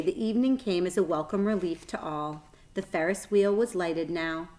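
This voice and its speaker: A woman's voice: fairly low pitch, a bit bright. A woman reading a children's story aloud as narrator, with expression.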